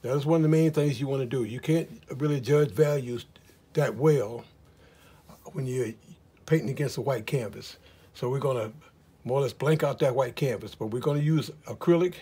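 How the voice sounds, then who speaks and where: A middle-aged man with a husky, slightly hoarse voice, teaching oil painting in a relaxed, rambling way with many fillers in his studio. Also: medium pitch, normal speed. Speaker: art teacher. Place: studio, in front of an easel.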